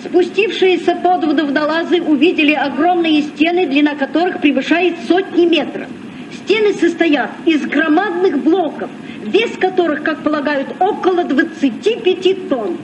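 An actor playing an eager youthful ship's recorder, declaiming clearly in a vintage radio play. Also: high-pitched, moderate pace, far from the mic, close to an everyday voice. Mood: earnest. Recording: muffled, noisy old Soviet radio recording.

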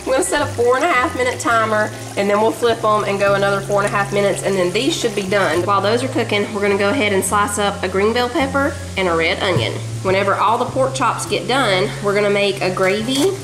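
A woman's voice: medium pitch, fast talker, hardly any pauses, rough recording. A woman with a Southern US accent, chatting casually in a folksy way as she narrates a home cooking vlog. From Southern United States. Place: home kitchen.

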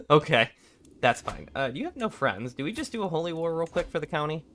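A young man with a raspy voice from being sick, casually commenting on a strategy game, thinking aloud and clearing his throat often. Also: on the high side, sing-song, moderate pace, slight background noise. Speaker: gameplay commentator. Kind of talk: casual let's play commentary.

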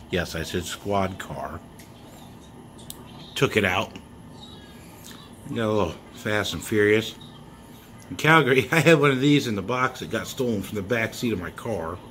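A man with a very low voice talks through his toy car finds at a slow, relaxed pace. He rambles, chuckles often and fills gaps with ums. A faint hum of the room sits under his handheld phone recording. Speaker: toy car collector vlogger. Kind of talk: casual show-and-tell vlog. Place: indoors, filming toys laid on a bedsheet. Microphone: handheld phone camera.

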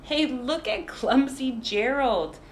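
A woman's voice: sits high, expressive, slow, slight background noise, voice pushed up. A woman voicing mocking jungle animals who jeer and exclaim in a children's storybook. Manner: taunting, exclaiming. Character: mocking crowd of jungle animals jeering at giraffe.

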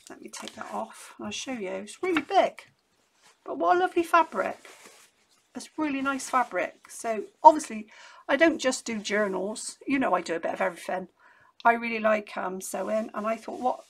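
A middle-aged woman, hoarse from losing her voice, chatting casually and rambling through a craft haul at her desk at home. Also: a little high, a bit bright. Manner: rambling and chatty, many restarts. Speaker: crafting vlogger.

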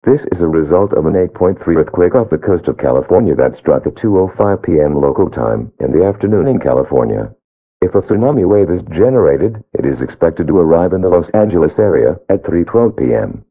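Casting: synthetic male alert announcer, very low with swinging intonation, scripted read, thin radio-band sound. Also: sing-song, fairly quick, narrow, tinny audio.

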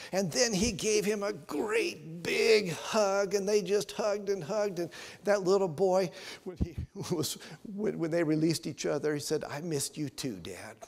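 A man in his sixties with an American accent, preaching and telling stories as a pastor, earnest and given to emphatic pauses, in a church. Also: a little high. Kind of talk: sermon with storytelling. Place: church sanctuary, at the altar. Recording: reverberant church hall.

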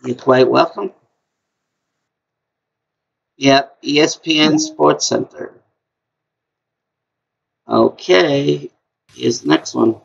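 A middle-aged man with a high-pitched voice hosting a live-stream trivia game from a small room at home, talking slowly and repeating himself in a chatty way. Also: vocal fry, husky, muffled recording. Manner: slow, repetitive, chatty.

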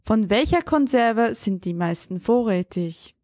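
A woman's voice: fairly high pitch, animated, measured pace, darkish tone, no room sound, studio quality. A woman playing a spaceship commander who puts brief questions and orders to her computer in a science fiction audio drama. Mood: commanding.

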